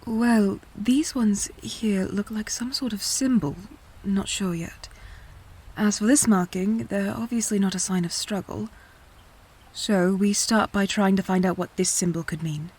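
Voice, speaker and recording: A woman acting a serious, probing investigator in an audio drama, with a breathy voice and a measured, insistent manner. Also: medium pitch, fairly even intonation, darkish tone. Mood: puzzled, pondering.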